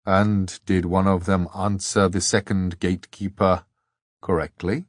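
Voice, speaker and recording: A man voicing a very old, kindly, solemn abbot in an audiobook, with a deep, reassuring voice and a measured, grave way of questioning. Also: little pitch movement, no room sound, studio quality. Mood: grave, calm.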